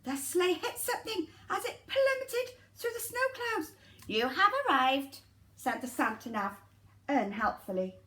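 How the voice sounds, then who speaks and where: A woman's voice: very high voice, normal speed. A woman reading a children's story expressively and dramatically, with asides straight to the young listeners. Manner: animated, dramatic, addresses children directly. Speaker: nursery teacher reading a story.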